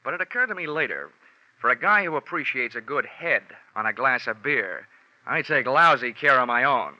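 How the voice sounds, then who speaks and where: An actor playing a wry, hard-boiled American insurance investigator in a 1940s radio drama, narrating with dry, deadpan wisecracks. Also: male voice, mid-range voice, normal speed, slight background noise. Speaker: lead actor narrating as the detective. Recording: muffled 1940s radio recording with music.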